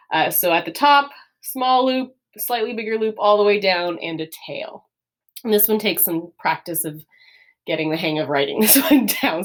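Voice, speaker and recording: A young woman teacher calmly explaining letters step by step, often laughing a little as she talks. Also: mid-range voice. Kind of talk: classroom lesson explaining letters.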